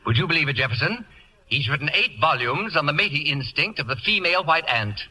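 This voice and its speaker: An actor playing a pompous, acid-tongued celebrity houseguest with grandiose, biting delivery in a radio comedy. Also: male voice, on the high side, lively intonation, fairly quick, sharp tone, husky, exaggerated voice. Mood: biting, grand. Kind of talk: 1940s radio comedy acting. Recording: noisy vintage radio recording with clicks.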